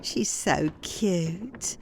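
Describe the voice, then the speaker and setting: A woman's voice: on the low side, animated, drawn-out words, creaky, slightly rough, heavily acted. An actress playing a sweet, childlike young woman in a comedy audio drama, cooing and doting. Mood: cooing, tender.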